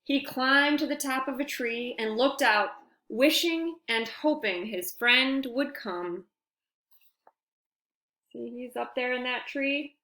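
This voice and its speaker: A woman reading a picture book aloud to young children, with expressive, deliberate phrasing and friendly asides. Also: high-pitched, roomy sound, a little hiss or hum.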